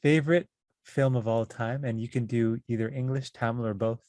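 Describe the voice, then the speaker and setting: Relaxed woman host, quick questions, dry close sound. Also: rumbling low, dry recording. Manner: relaxed, asks quick-fire questions, laughs along. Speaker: podcast host. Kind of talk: casual interview chat.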